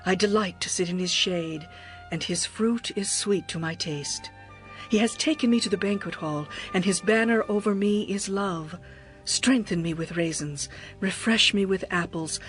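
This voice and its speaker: An actress playing a young, lovestruck bride, yearning and adoring, in a tender, poetic dramatized scripture reading. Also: female voice, lowish voice, natural voice. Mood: adoring, dreamy. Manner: expressive, poetic, tender delivery.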